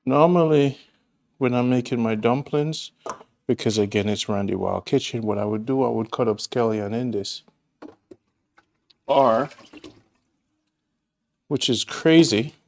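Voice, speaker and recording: A Jamaican man talks his viewers through a recipe in his own kitchen, unhurried and easygoing. His voice sits at an ordinary middle pitch, and he drifts into chatty, homely asides between steps. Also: a little mellow. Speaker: cooking show host. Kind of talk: casual cooking demonstration. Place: home kitchen.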